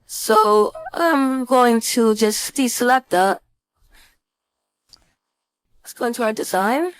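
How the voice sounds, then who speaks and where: A woman instructor giving a casual screen-share software tutorial, thinking aloud informally as she demonstrates. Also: on the high side, big pitch swings, slow pace, dry recording, poor audio. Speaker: design instructor.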